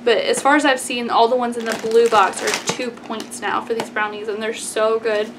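A woman's voice: a little high, level tone, normal speed. A woman in her twenties from Texas with an American accent, chatting casually and informally to camera as a vlogger, with frequent "like" and "y'all". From Texas, United States. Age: 20s. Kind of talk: casual grocery haul chat to camera. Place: small plain indoor room. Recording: clearly noisy room background.

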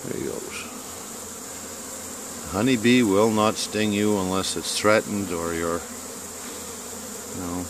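A beekeeper from Canada narrating his bee yard outdoors in a calm, unhurried way, filming on a handheld phone. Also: fairly low pitch, noisy background. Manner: calm, unhurried commentary in short phrases. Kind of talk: casual outdoor video narration. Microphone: handheld phone camera. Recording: clear bee buzzing outdoors.

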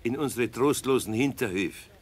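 A man's voice: mid-range voice, moderate pace, husky, lightly acted. An actor playing a good-natured, patient master craftsman in a children's radio play, colloquial and soothing, with a Bavarian accent. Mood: friendly.

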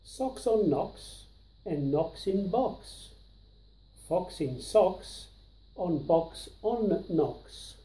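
An older man reading a children's picture book aloud with deliberate, emphatic delivery of the rhymes, recorded in a living room. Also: sits high, lively intonation, warm tone, echoey room, poor audio. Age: 60s or 70s.

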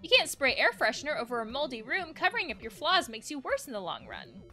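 An anxious guard wolf from a video game, acted out by a woman, nags and pleads with melodrama. The voice is bright and high, swinging widely in pitch. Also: big pitch swings, quick pace, faint music behind, heavily acted. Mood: alarmed, scolding. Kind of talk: game dialogue read aloud.

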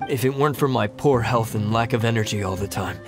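An actor playing a gentle, frail young man in a video game, earnestly explaining himself. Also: fairly low pitch, fast, over music, close to an everyday voice. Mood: apologetic. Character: gentle, apologetic, frail young brother, track builder.

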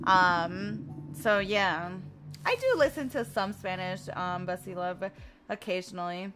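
A woman's voice: fairly low pitch, expressive, measured pace, music in the background. A woman in her twenties from the United States, chatting casually with her stream viewers and reading their messages aloud while she plays. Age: 20s. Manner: chatty, laughs often, reads chat aloud.

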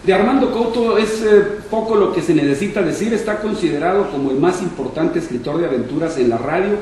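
An older man in his sixties with a northern Mexican accent speaks in a fairly high, soft-edged voice. He reads from prepared notes like a deliberate storyteller. The sound comes from a very echoey room, picked up at some distance from the mic. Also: slight background noise. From Monterrey, Mexico. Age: 60s. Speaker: journalist, panel speaker. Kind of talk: prepared talk, reading from notes aloud. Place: conference room panel, indoors. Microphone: shared table microphone on stand.

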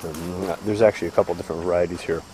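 A man's voice: deep voice, steady tone, rapid-fire. A man giving a casual walking tour of his vegetable farm, talking easygoing with lots of "uh" fillers. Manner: easygoing, rambling, frequent "uh" fillers. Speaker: farmer giving a garden tour. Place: outdoor vegetable farm fields. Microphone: handheld camera mic, walking. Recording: outdoor camera audio with insect noise. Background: crickets and insects outdoors.

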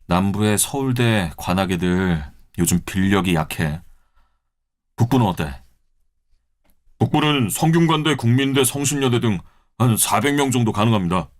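A man's voice: lowish voice, animated, close to an everyday voice. A man playing a cool-headed student protest leader. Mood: steady, commanding. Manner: brisk and commanding, plans like a field officer. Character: calm, confident male student protest leader. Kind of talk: audio drama acting.

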